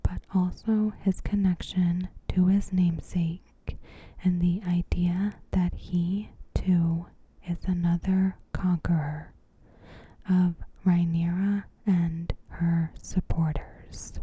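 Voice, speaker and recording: A woman narrates in an ASMR whisper, extremely breathy and mellow. Her delivery is slow, gentle and carefully phrased, staying very even in pitch as she reads her scripted recap. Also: lo-fi sound. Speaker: ASMR host.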